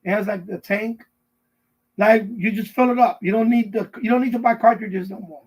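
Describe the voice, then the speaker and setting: High-pitched, husky middle-aged man. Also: fairly even intonation, moderate pace, poor audio. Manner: rambling, repetitive, answering viewers' messages. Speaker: live stream host. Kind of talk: casual live stream chat. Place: basement at home.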